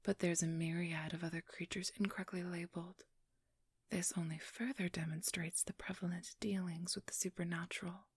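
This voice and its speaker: Woman doing a sly haughty vampire-ish thief lady for asmr, super breathy whisper, close dry sound, formal n condescending. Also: on the low side, little pitch movement, moderate pace, dry recording. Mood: scholarly, assured. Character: cunning, haughty female supernatural thief posing as scholar.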